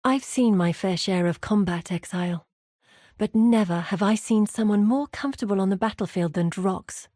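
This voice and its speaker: A woman playing an earnest, weary scholar-explorer in a video game, telling her recollections in a measured, articulate way. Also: mid-range voice, mellow, no echo, clean studio sound, close to an everyday voice. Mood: admiring, reflective. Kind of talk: scripted video game character monologue.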